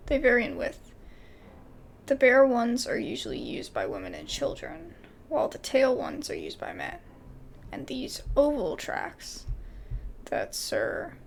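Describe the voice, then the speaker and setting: A woman's voice: high voice, gravelly, a little hiss or hum. A woman doing casual video game commentary and reading dialogue aloud, with informal, exclamatory asides.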